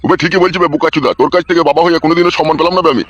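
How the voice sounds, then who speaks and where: A man's voice: a little high, very fast, a bit bright. A man voicing a crude, bossy father-in-law in a comic cartoon, cursing and giving orders. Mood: irritated, cursing. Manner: gruffly cursing, commanding. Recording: dull, lo-fi sound.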